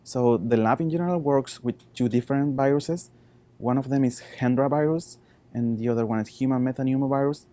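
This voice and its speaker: A man in his thirties with a Chilean Spanish accent, a researcher talking informally about his work in halting non-native English. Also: mid-range voice, warm tone. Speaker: postdoctoral researcher.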